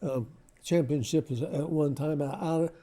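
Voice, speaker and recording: An older man, reminiscing casually as an interview guest in a halting, modest, rambling way. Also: a little high, warm tone. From Lebanon, Ohio, United States.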